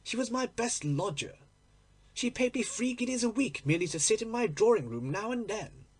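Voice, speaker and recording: A man's voice: high voice, sing-song, moderate pace, slightly rough, voice pushed up, exaggerated voice. A man voicing a respectable, chatty landlady in a storybook reading, deferential and forthcoming. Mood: dismayed.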